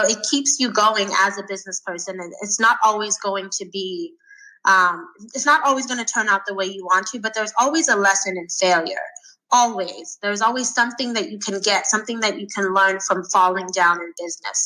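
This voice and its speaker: A woman in her thirties from Sweden, answering interview questions thoughtfully with frequent pauses and fillers. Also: mid-range voice, sharp tone, rough recording. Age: 30s. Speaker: guest.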